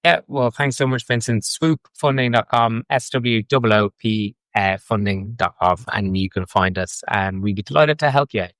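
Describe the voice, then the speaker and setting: A man from Ireland with an Irish accent, a guest in an interview, talking fast and eagerly with frequent restarts. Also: on the low side, a bit bright, no room sound. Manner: enthusiastic, fast, repeats words and restarts. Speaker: guest, fintech co-founder. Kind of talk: casual interview talk. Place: London.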